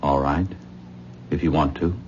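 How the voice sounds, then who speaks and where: A man's voice: extremely low pitch, fairly even intonation, a little slow. An actor playing an earnest young man in love who pleads in a 1970s radio drama. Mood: pleading. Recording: dull 1970s radio recording with faint noise.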